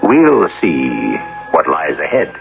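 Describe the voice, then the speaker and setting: A man narrating as a radio mystery host in a measured, portentous, theatrical style. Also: extremely low pitch, wildly swooping pitch, gravelly. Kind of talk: dramatic scripted narration. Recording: dull vintage radio broadcast. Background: prominent music.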